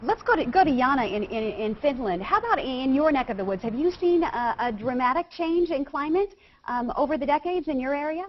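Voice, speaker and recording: Woman, higher pitch, brisk call moderator, lo-fi sound, faint hiss. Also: lively intonation. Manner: brisk, inviting, calls on guests by name. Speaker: host. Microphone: video call. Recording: dull lo-fi video call audio.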